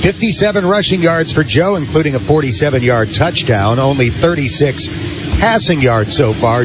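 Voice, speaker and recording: An American man calling football play-by-play on radio in clipped, descriptive phrases. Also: a little high. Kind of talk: live American football commentary. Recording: clearly noisy live stadium broadcast.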